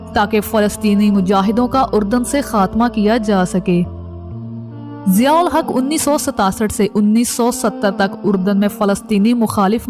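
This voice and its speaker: A woman reading a scripted documentary narration in a formal news-report manner. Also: medium pitch. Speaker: narrator and channel presenter. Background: music.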